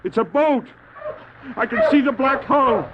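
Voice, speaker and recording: An actor playing a devout missionary stranded on drifting ice in an audio drama, speaking with solemn, earnest, deliberate phrasing. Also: male voice, very high voice, heavy background noise. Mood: rising excitement. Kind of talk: audio drama acting with narrated recollection and prayer. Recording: muffled phone-like old cassette drama, music.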